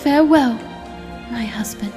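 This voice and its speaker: A woman playing a serene, loving spirit wife in an audio comic drama, speaking gently and formally. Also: fairly high pitch, music in the background, lightly acted. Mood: tender, reassuring. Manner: formal, gentle, measured speech.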